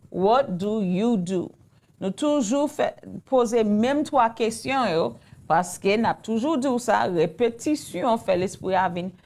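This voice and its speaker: A woman with a Haitian Creole accent teaching English in a live online lesson, speaking slowly and repeating phrases as she writes them out. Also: on the high side, darkish tone. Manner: slow, repeats phrases while writing them out.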